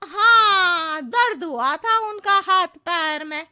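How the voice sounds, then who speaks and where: A woman's voice: very high-pitched, expressive, slow pace, pushed and bright, heavily acted. A woman playing a cheeky, whiny, scatterbrained housemaid who talks in circles in a comic cartoon story. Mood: evasive, riddling. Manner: roundabout, riddling, pleading. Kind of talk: comic animated story dialogue.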